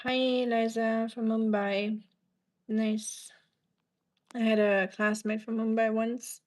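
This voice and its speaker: A woman from Germany talks in a German-accented voice that sits a little high. She chats on her livestream in a rambling, teasing way, drifting between asides as she answers viewers. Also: flat delivery, slow pace, a little mellow.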